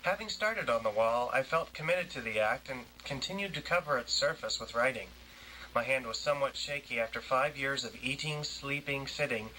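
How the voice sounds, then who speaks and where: A man reading a first-person literary piece in a measured, dramatic way. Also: mid-range voice, sharp tone, a little hiss or hum, poor audio.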